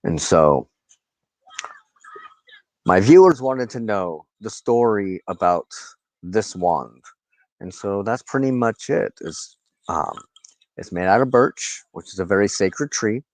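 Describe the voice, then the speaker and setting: Low-voiced man, slow and halting. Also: lively intonation, a little mellow, no echo, poor audio. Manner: halting and reflective, with long pauses mid-sentence. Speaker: host of a personal spiritual channel, wand crafter. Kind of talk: rambling informal monologue to viewers.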